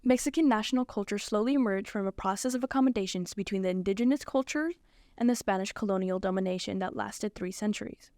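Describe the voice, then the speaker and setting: A teenage girl reading a prepared narration in a steady, even way. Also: mid-range voice, fast talker, no echo, clean studio sound. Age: teens. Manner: steady, evenly paced reading. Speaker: student presenter. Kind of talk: read narration.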